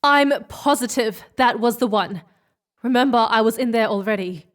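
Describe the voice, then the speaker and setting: Adamant female crew member actress, sci-fi radio play, high-pitched, passionate, insistent delivery. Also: normal speed, lightly acted. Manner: emphatic, insistent. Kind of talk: sci-fi audio drama acting.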